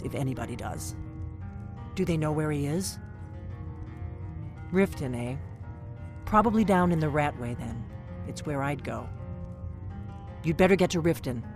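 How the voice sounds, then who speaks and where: An actress playing a terse, world-weary middle-aged female secret agent in a fantasy video game, wry and guarded. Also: sits low. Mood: wry, intent, then brisk, practical. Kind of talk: video game character dialogue. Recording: game music behind the voice.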